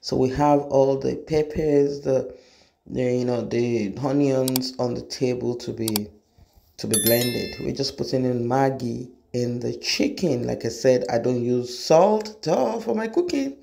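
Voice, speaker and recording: A woman's voice: very deep voice, measured pace, gravelly, warm tone. A middle-aged woman hosting a home cooking demonstration, explaining each step to viewers in her kitchen. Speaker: cooking show host.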